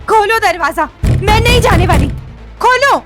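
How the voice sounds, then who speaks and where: A woman's voice: very high voice, animated, a little slow. A woman playing a stubborn, vengeful young wife in an audio drama, indignant and emphatic. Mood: shocked, demanding. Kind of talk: Hindi audio drama acting. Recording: music bed under the voice.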